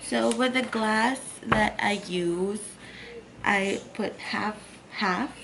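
Chatty woman vlogger, slow and easy. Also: mid-range voice, vocal fry, very noisy. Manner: chatty, upbeat, relaxed. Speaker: lifestyle vlogger. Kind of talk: casual tutorial vlog. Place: home bedroom and kitchen.

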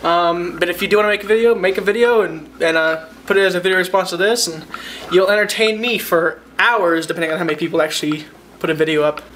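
A young man from Alaska, vlogging casually to a handheld camera in his living room, excitable and rambling. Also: sits high, a little hiss or hum. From Alaska, United States. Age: young adult. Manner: excitable, rambling, quick asides. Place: living room of a house, indoors. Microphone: handheld camera at arm's length.

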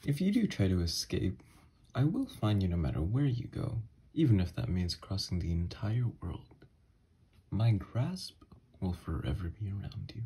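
A man voicing an obsessed, unhinged kidnapper scientist in an ASMR horror roleplay, soft and breathy, coaxing gently with quiet menace. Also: low-pitched, very animated, moderate pace, full character voice. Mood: eerily calm. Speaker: ASMR audio roleplay voice actor. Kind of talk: ASMR horror roleplay monologue, partly whispered.

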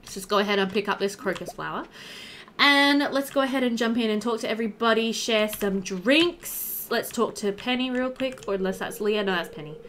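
A woman's voice: on the high side, brisk, a bit bright, slight background noise. A young woman giving chatty, informal, giggly commentary while playing a video game. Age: young adult. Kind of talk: casual let's play commentary.

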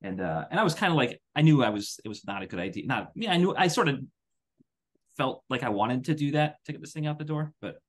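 A man's voice: mid-range voice, very animated, fast. A tired man in his thirties chatting casually on a podcast in a rambling, self-deprecating way. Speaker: podcast co-host. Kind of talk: casual chat. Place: home room, video call. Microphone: headset.

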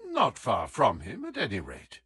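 A man voicing a suave, affable gentleman detective with a polite, teasing manner in an audiobook. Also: mid-range voice, huge pitch swings, measured pace, slightly rough, no room sound, exaggerated voice. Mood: amused, composed.

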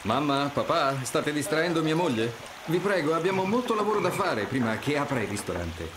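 An actor playing a charming, devoted, upbeat young husband in an animated video game. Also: male voice, on the high side, normal speed, noisy background. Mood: mock reproach.

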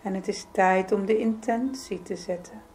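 A woman leading a guided yoga nidra meditation in a soothing, gentle, unhurried manner. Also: medium pitch, steady tone, slight background noise. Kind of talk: guided meditation, calm spoken instruction.

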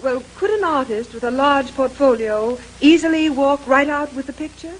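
Woman playing a high-voiced lady detective. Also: normal speed, close to an everyday voice. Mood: probing. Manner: polite, probing, authoritative. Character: brisk, composed lady detective in charge. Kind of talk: 1930s radio mystery acting. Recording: noisy old radio drama recording.

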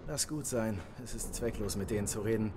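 A man's voice: lowish voice, a little fast, background noise, close to an everyday voice. A man voicing a chatty, wry male fellow prisoner who talks in an amused, easygoing way. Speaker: fellow captive. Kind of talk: German game dub.

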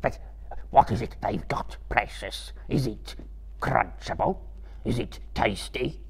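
A man voicing a wretched, skinny, malicious cave creature with a raspy, hissing, whining voice in a live story reading. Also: medium pitch, heavily acted. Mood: hungry, eager. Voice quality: raspy, creaky, hissing whisper. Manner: whining, drawn-out, self-pitying muttering.

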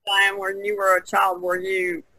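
A middle-aged woman with a raspy, creaky voice, chatting casually among fellow artists. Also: mid-range voice, monotone, a little slow, pushed and bright, dry recording, rough recording. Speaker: artist on a podcast panel. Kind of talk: casual chat. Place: online video conference call.

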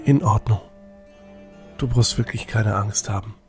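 A man playing a kindly, pious protector in an audio drama, with a husky voice and a friendly, reassuring manner. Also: on the low side, unhurried, faint music behind, natural voice. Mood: apologetic, reassuring.

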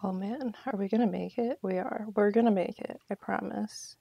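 Woman, game streamer. Soft-edged tone with vocal fry, playful, off-the-cuff commentary to herself and viewers. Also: medium pitch, moderate pace, no echo. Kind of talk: casual live gameplay commentary.

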